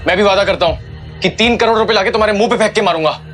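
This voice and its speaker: Young male hero: quick, intense, earnest and defiant, audio drama lead. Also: high-pitched, a bit bright, faint music behind. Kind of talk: Hindi audio drama acting.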